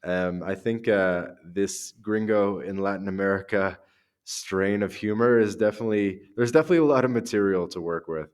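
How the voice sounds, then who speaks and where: A man hosting a podcast, asking questions in a casual, easygoing way with frequent ums and pauses. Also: on the low side, darkish tone, clear, resonant tone. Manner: easygoing, hesitant, many ums and uhs. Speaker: podcast host. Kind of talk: casual interview.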